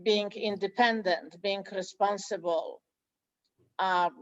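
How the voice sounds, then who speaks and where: Non-native English-speaking woman from Poland, school director in an interview; halting delivery with frequent fillers. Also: medium pitch, fairly even intonation, highs cut off. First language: Polish. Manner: hesitant, many fillers and restarts. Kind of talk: interview conversation.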